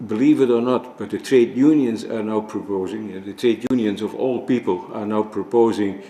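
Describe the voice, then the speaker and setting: A man from the Netherlands giving a formal presentation at a conference, measured and often restarting his sentences. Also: lowish voice, mellow. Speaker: conference speaker. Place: conference room. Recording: echoey hall with faint ambience.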